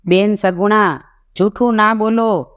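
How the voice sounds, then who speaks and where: A narrator plays a saintly royal man from a devotional storybook, a protective brother. He is caring and gently commanding, with a clear, resonant voice at medium pitch, and slips into verse. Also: fairly even intonation, slow pace. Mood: probing, firm.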